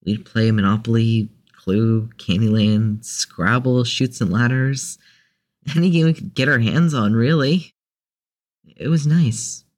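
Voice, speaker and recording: A man's voice: medium pitch, unhurried, natural voice. A man playing a mild, easygoing and slightly hesitant character in an audio drama. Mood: nostalgic. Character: easygoing, nostalgic man, mild peacemaker of the group.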